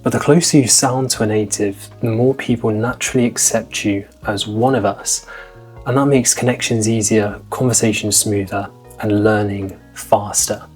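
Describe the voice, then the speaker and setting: A man's voice: on the low side, fairly even intonation, normal speed, a little mellow, soft background music. A man in his twenties, a language-learning vlogger, talking in an upbeat, motivational style. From Britain. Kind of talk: scripted vlog narration.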